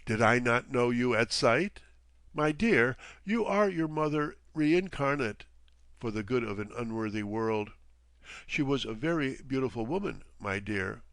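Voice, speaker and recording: A man voicing a genial, theatrical elderly gentleman with a courtly, florid, playfully pompous manner in an audiobook story. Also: mid-range voice, expressive, measured pace, creaky, dry recording. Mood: fond, musing.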